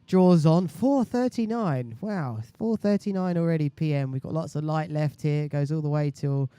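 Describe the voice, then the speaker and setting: Smooth, higher-voiced male sports commentator. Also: normal speed, dark tone, no echo. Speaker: live stream sports commentator. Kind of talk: live kiteboarding commentary, chatty and conversational. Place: indoor live stream booth by a beach.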